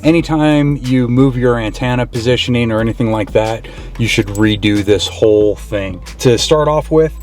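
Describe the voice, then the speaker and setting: A man's voice: medium pitch, moderate pace, a little mellow. A man hosting a casual, unscripted how-to vlog, explaining somewhat hesitantly as he thinks aloud. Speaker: vlog host.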